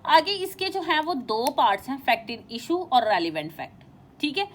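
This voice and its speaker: A woman teacher giving an informal lecture, explaining and reading out definitions in a halting, repetitive way with frequent check-in tags. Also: high-pitched, big pitch swings, slight background noise.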